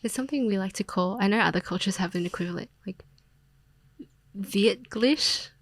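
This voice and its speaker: Young Australian woman, reflective podcast guest, some vocal fry, dry close mic. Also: mid-range voice, normal speed, no room sound. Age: young adult. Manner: reflective, with frequent fillers and soft laughs. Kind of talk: casual interview conversation.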